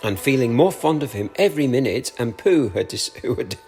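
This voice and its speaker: A man giving an expressive read-aloud narration of a children's storybook. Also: mid-range voice, fairly quick, soft background music. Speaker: storybook reader.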